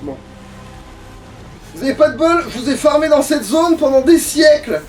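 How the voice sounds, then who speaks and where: High-pitched man gaming, crude and sweary. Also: very animated, moderate pace, darkish tone. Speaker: let's player playing a video game. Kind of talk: casual gaming commentary. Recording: distant mic, music behind.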